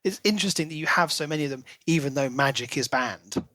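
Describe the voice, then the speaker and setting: A man's voice: on the high side, fast, sharp tone, no echo. A man co-hosting a podcast, talking casually and speculatively about a book. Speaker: podcast co-host.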